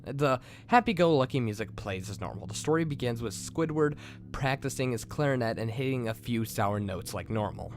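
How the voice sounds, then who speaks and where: A man's voice: mid-range voice, expressive, moderate pace. A man hosting and narrating a scripted creepypasta horror story. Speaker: host and narrator.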